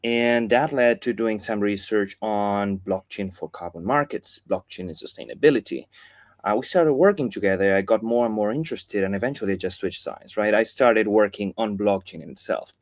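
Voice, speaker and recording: A man in his thirties with an Argentine accent, speaking as an interview guest, thoughtful and pausing to restart his sentences. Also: fairly low pitch, no echo, clean studio sound. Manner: thoughtful, with frequent restarts and fillers. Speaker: guest, foundation chief of staff. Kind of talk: casual interview talk.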